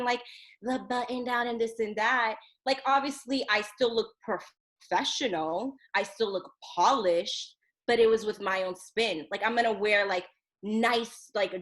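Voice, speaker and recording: A woman talking on a podcast as a guest, an animated storyteller who laughs often and speeds up in bursts. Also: medium pitch, low-quality recording. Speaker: podcast guest, youth journalism program director. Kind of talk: casual interview chat.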